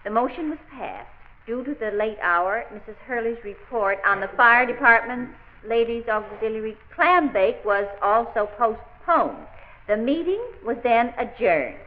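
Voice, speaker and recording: A slightly dull vintage radio recording of a lady committee secretary. Her voice is fairly high, and she speaks formally, with prim courtesy, in 1940s radio style. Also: animated, unhurried. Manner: formal, reading aloud, primly courteous. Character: proper, polite lady secretary reading meeting minutes.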